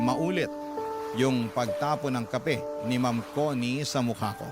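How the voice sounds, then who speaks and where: A man hosting a radio drama, reading a sentimental first-person love story in dramatic, scripted phrases. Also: medium pitch. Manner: reads a letter writer's confession in dramatic phrases. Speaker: radio drama host and narrator. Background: prominent music.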